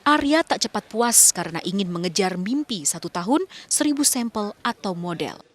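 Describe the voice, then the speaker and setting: A woman reporter giving scripted narration for a local news feature in a measured, even reading. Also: medium pitch.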